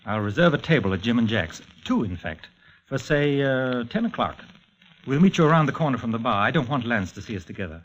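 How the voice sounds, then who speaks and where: A man's voice: medium pitch, expressive, a little fast, natural voice. Actor doing formal 40s radio govt agent guy, businesslike, very up and down melody, muffled old recording. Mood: brisk, courteous. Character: formal, smooth, secretive male government agent. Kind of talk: 1940s radio acting.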